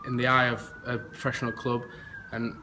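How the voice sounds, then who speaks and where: A young man answers interview questions in a plain, measured way as an academy rugby player. His voice barely moves in pitch. Noise and a siren can be heard behind him. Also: level tone. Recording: noisy outdoor recording with siren.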